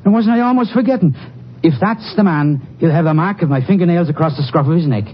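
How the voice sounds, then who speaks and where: An actor playing an anxious male crime victim in a 1950s western radio drama, speaking in a halting, earnest way. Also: fairly high pitch, close to an everyday voice. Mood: remembering, earnest. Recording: muffled, faintly noisy vintage radio recording.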